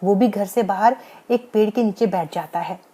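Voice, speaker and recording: A woman's voice: mid-range voice, normal speed, mellow. A woman narrating a scripted bedtime moral story as the storyteller.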